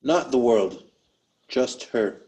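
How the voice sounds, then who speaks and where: A man's voice: medium pitch, lively intonation, slow pace, warm tone. A man playing a grave, dogged protector of an abused girl in a drama table read. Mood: grave, insistent. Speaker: actor in a web series table read. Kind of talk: scripted drama read aloud.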